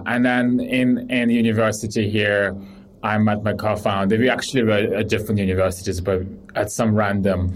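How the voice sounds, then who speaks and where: Relaxed low-voiced man from Ukraine. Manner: relaxed, rambling with many fillers and restarts. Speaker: guest, startup CEO. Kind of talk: casual interview talk.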